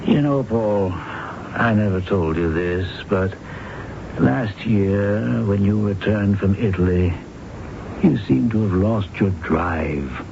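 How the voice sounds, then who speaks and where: Slow, low-voiced professor. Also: male voice, gravelly. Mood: probing, concerned. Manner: deliberate, questioning, avuncular. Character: concerned, probing male university professor. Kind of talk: 1970s radio drama acting. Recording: muffled, noisy old radio broadcast.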